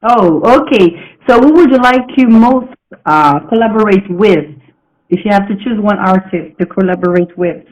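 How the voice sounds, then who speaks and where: Halting non-native interviewer asking short questions. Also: medium pitch, rough recording. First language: French. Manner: brief, halting questions with many "okay" and "mm-hmm". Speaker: live stream host.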